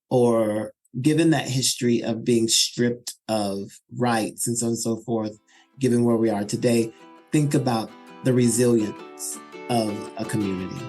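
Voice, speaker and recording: A middle-aged man, a scholar and interview guest, answering thoughtfully with pauses and small restarts. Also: medium pitch, darkish tone.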